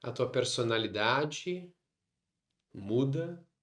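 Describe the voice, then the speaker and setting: Man, Brazilian Portuguese accent, very slow pace, mid-pitched, guided meditation, short phrases with long pauses, echoing room. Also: expressive. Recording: roomy, echoing sound.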